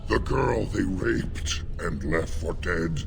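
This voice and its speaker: A man's voice: sits low, animated, music in the background, full character voice. A man voicing a fierce, brooding werewolf in a fantasy game, growling and intense, utterly devoted to his lady. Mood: grim, bitter. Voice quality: gravelly, growling. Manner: slow, weighty, intense delivery. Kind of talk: fantasy video game acting.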